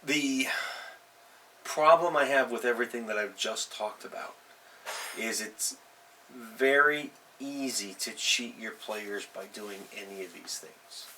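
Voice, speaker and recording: Male host, bright, forceful voice, informal unscripted advice delivery, emphatic and conversational, recorded a little away from the mic. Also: medium pitch, measured pace. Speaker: host of a tabletop role-playing advice show. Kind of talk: casual unscripted advice talk. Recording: distant mic, faint background noise.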